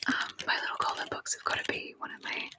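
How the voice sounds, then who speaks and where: Whispering woman, rambling and chatty. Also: fast. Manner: soft rambling whisper, chatty and self-deprecating. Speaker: ASMR streamer. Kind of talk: whispered ASMR live stream chat. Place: home desk. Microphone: sensitive close mic.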